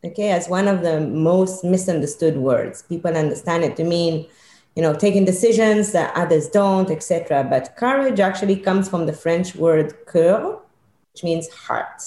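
A woman with a mixed British-Israeli accent speaks in a low, mellow voice, earnest and reflective, stacking ideas into lists. She is a podcast guest calling in remotely, and her voice rings in an echoey room. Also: moderate pace. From born in Israel, British Israeli. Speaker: guest, leadership consultant. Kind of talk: podcast interview. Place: remote call from home or office.

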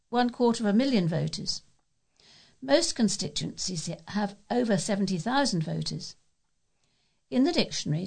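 A woman's voice: medium pitch, creaky, darkish tone, husky. A woman reading local newspaper letters aloud as a volunteer newsreader.

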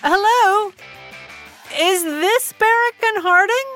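A woman's voice: very high-pitched, slow, a bit bright, soft background music, full character voice. An actress playing a polite, proper, determined middle-aged mother in an audio drama, speaking with prim formality. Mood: polite, flustered.

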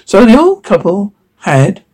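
A man reading a fairy tale aloud in a halting way, sometimes stumbling and correcting himself. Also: sits high, huge pitch swings, darkish tone, husky. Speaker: story reader.

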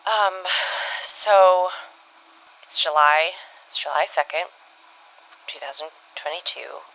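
A woman playing a shaken time agent, giving a hesitant, halting monologue in an improvised audio drama. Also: low-pitched, creaky, fairly bright tone, a little hiss or hum, exaggerated voice, low-quality recording. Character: shaken female time agent recounting a mission.

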